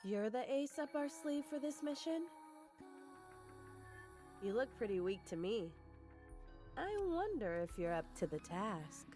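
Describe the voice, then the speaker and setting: Brash, expressive female soldier. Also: a little high, a little slow, long pauses, soft background music. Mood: scornful, cocky. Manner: mocking, blunt.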